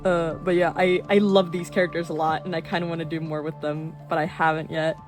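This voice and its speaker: A woman of twenty-one chatting casually in a rambling way while showing her sketchbook, with fillers and quick laughs. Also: low-pitched, flat delivery. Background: soft background music.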